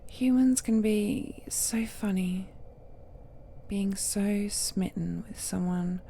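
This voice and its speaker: A woman playing an ancient godlike villainess in an intimate audio drama, seductive, possessive and falsely tender, with coy endearments and a breathy voice that often drops to a whisper. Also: medium pitch, dark tone, slight background noise. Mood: teasing, amused. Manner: slow, coy, drawn-out endearments with teasing murmurs.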